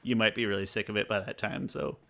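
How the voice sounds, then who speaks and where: Low-voiced man musing in casual chat. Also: quick pace, creaky, bright, forceful tone. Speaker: podcast co-host.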